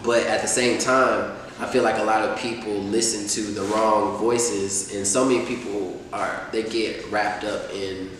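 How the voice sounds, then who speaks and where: A man's voice: fairly low pitch, measured pace, few pauses, slight background noise. Laid-back young man, echoey far-off mic. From Atlanta, USA. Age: 20s. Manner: relaxed, rambling, lots of fillers. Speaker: rapper, guest. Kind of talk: casual sit-down interview. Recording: echoey room, distant mic.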